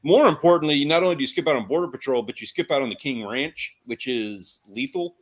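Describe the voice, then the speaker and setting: A man's voice: mid-range voice, fast talker. A man with a Texan accent chatting casually as a livestream co-host, joking and rambling through stories. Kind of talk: casual chat about fishing and outdoors.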